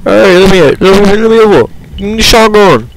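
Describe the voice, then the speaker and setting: A man's voice: sits high, expressive, quick pace, creaky, a little hiss or hum, poor audio. Man doing a hammy fake British accent. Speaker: gamer. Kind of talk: gameplay commentary. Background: game music and gunfire.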